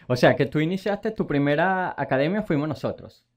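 A man's voice: on the high side, animated, moderate pace. A man hosting a casual interview as a teacher, asking questions and repeating words for emphasis. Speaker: interview host, trading teacher.

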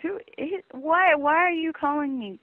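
Female hotel receptionist, high register, heard over a narrow phone line. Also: measured pace, no room sound. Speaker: hotel front desk clerk. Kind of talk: customer service phone call. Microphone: telephone. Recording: dull lo-fi telephone line.

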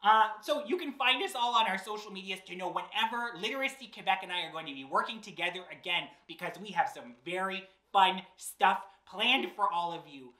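Drag queen doing kids storytime, bright punchy voice, big playful over the top delivery, bit of room echo. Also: mid-range voice, lively intonation, brisk, few pauses. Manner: theatrical, exaggerated, playful delivery. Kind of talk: animated children's read-aloud and chatty presenting. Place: small indoor set, seated in an armchair. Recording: roomy indoor echo.

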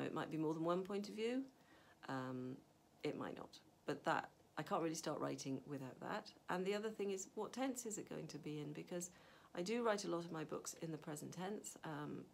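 A middle-aged woman with a low voice and a gravelly edge shares writing advice from her home study. She talks casually to the camera, thinking aloud with fillers and restarts. A faint hum sits under the recording. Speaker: novelist. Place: home study with a map on the wall.

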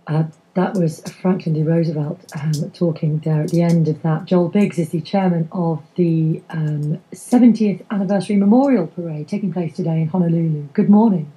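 A woman presenting and interviewing on live radio news, in a somewhat halting manner. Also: on the low side, dark tone, low-quality recording.

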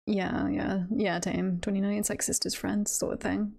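A woman's voice: mid-range voice, flat delivery, normal speed, creaky, darkish tone. A woman livestreamer chatting casually and hesitantly as she talks through tier lists. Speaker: streamer.

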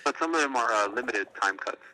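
A man's voice: medium pitch, expressive, fast talker, sharp tone, poor audio. A man working as a store clerk, politely and hesitantly helping a customer over the phone. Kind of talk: customer service phone call.

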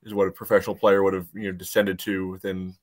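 Deep monotone guy rambling on a sports podcast, lots of you knows, webcam call. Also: fast talker. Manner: rambling, peppered with "you know". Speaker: podcast panelist. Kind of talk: casual sports chat. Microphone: webcam video call.

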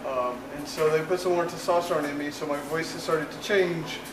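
A young man chats in a loose, rambling way, full of ums and uhs, in a voice pitched a little high for a man. He holds the camera at arm's length while walking around a small spare bedroom indoors, with faint room ambience behind him. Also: steady tone, fairly quick, background noise. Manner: rambling, filler-heavy, loose and chatty. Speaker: vlogger. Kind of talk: casual vlog chat. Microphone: handheld camera at arm's length, moving around.

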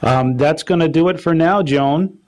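A man's voice: fairly high pitch, normal speed. A man hosting a live meeting, passing audience questions on to a phone guest in a casual, friendly way. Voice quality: repeats questions aloud for the caller. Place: meeting room.

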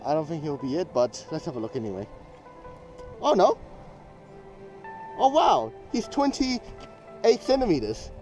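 A man from New Zealand giving casual, excitable running commentary while fishing outdoors on a rocky jetty, recorded on a head-mounted action camera. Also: on the high side, a little slow, long pauses, soft background music. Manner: chatty, excitable, thinks aloud. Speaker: fishing vlogger.

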